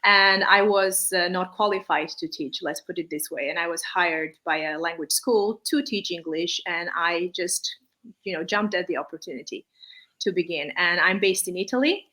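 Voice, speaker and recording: Woman, English speaker from Armenia, bright sharp tone, slightly low pitch, hesitant phrasing, interview answers. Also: moderate pace, rough recording. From born in Armenia, raised partly in Malaysia. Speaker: interview guest, English teacher.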